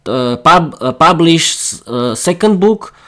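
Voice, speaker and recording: A man in his thirties from East Slovakia with a Slovak accent, hosting his own podcast in halting learner English full of restarts. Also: a little high, animated, pushed and bright. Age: 30s. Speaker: podcast host. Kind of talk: solo podcast monologue.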